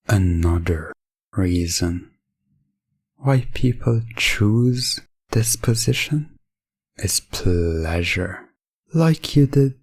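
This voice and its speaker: A man performs as a composed male professional dominant for an ASMR hypnosis audio roleplay. The voice is low and breathy, and every phrase comes extremely slowly and deliberately. The delivery stays formal and detached, recorded very dry and close. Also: darkish tone, dry recording, exaggerated voice. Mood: calm, assured. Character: calm, businesslike male professional dominant addressing a client.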